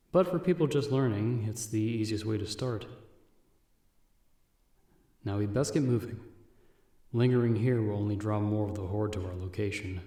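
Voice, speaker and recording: An echoey recording with a faint hiss carries a calm vampire monster hunter acted by a man. His voice is low and mellow. He speaks straight to the listener in measured, reassuring terms, like the seasoned mentor of a novice in an audio roleplay. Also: close to an everyday voice. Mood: curious, encouraging.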